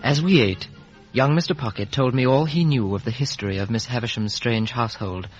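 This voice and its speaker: An actor playing an earnest, polite young gentleman in a 1950s radio drama, narrating and speaking in measured, literary fashion on a muffled old recording. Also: mid-range voice, soft background music, close to an everyday voice. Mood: measured, reflective.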